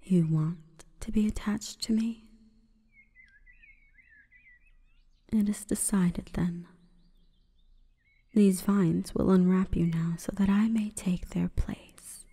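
A woman voicing a seductive, solemn female plant spirit in a breathy, formal, commanding whisper for an ASMR roleplay. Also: mid-range voice, fairly even intonation, slow, dark tone. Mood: softly commanding, seductive. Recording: long reverberant echo.

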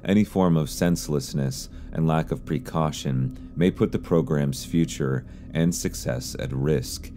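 A man reading scripted explainer narration in a measured, serious way, as a video narrator. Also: extremely low pitch, mellow, soft background music. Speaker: YouTube narrator.

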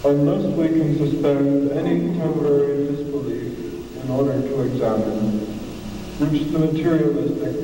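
An actor playing a pompous, long-winded male professor who lectures haltingly in circles, in an old film. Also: fairly high pitch, steady tone, distant mic, close to an everyday voice. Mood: pompous, earnest. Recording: old film replayed in echoey hall, noisy.

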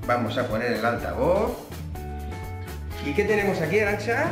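A man's voice: on the high side, sing-song, moderate pace. A man in his thirties with a Spain Spanish accent, chatting casually to camera as a dad hosting a family vlog. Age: 30s. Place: living room sofa, indoors. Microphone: camera a short distance away. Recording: echoey living room, music behind.

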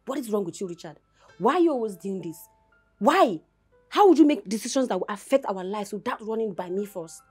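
A woman's voice: medium pitch, expressive, brisk, breathy edge, faint music behind. A woman acting an upset, firm young wife in a film drama, insistent and repeating herself. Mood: incredulous.

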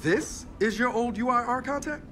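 A man's voice: very high-pitched, moderate pace, sharp tone, slight background noise. An American actor playing an earnest, guarded young male soldier in a video game. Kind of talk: video game cutscene acting.

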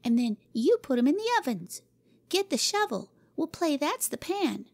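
A woman voicing a bossy, excitable little girl in a storybook reading, shrill and commanding. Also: moderate pace, gravelly, no room sound, clean studio sound, full character voice. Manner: shrill, imperious, gleeful.

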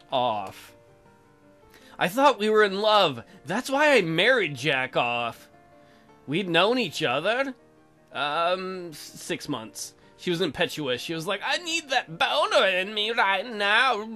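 A man's voice: high-pitched, sharp tone. A man giving comedic let's play commentary, reading game text aloud in a goofy, crude, improvised way. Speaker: gaming video host.

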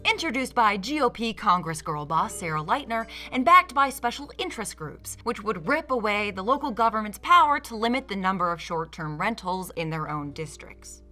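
Sardonic female video essayist, American, animated pitch, forceful bright delivery. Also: moderate pace, few pauses, faint music behind. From Michigan, United States. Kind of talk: scripted video-essay narration.

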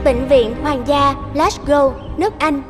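A woman's voice: high voice, steady tone, measured pace. A woman narrating a scripted story as a storyteller. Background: music behind the voice.

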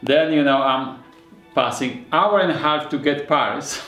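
Older artist, broken English, slightly high voice, roomy studio sound. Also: male voice, unhurried, soft background music. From Poland. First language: Polish. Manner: broken English, chuckling, lively storytelling. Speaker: artist, interview guest. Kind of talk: casual conversation. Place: painting studio in a home, indoors.

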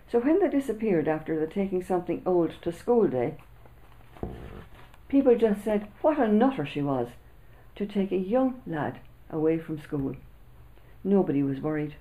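Woman, sixties, low mellow voice, lively storybook reading, small indoor room. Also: rough recording. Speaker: author. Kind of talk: reading a children's book aloud.

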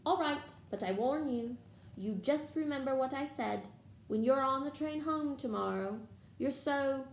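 A woman's voice: a little high, lively intonation, unhurried, creaky, darkish tone, reverberant. A woman voicing an indignant, bossy young schoolgirl witch, scolding and snapping in a storybook reading. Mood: warning. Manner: hissing, snapping.

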